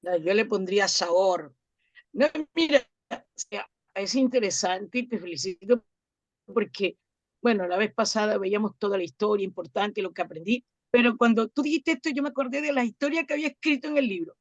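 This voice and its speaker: A woman's voice: medium pitch, brisk, no echo. A woman taking part in a workshop, giving an informal comment over dull, lo-fi audio. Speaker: workshop participant, teacher.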